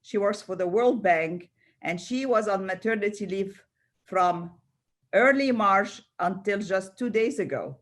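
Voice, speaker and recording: A woman's voice: mid-range voice, lively intonation, a little slow. An older woman from Egypt speaking spontaneously as a round table panellist, often repeating words and restarting. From Cairo, Egypt. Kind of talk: panel discussion, spontaneous speech.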